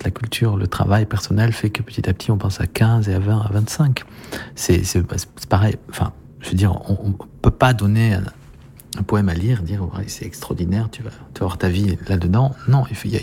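A man, a pianist, speaking as a guest in a talk, halting and reflective with many restarts. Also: on the low side, creaky, a little mellow, a little hiss or hum. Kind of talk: conversational radio talk.

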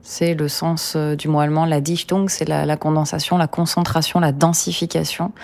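A woman writer, a poet and novelist, answering questions thoughtfully and with precision, pausing on fillers. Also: deep voice, flat delivery, creaky, a little mellow. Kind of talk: conversational interview answer.

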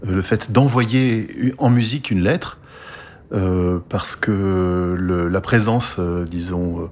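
A male guest on a cultural interview who explains his ideas in a halting way, with many hesitations and restarts. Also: low voice, a little mellow. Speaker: guest musician.

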